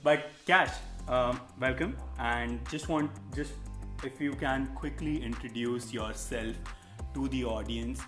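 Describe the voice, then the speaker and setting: A man's voice: mid-range voice, music in the background. Friendly male vlog host, roomy sound. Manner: friendly, halting with restarts. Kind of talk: casual interview.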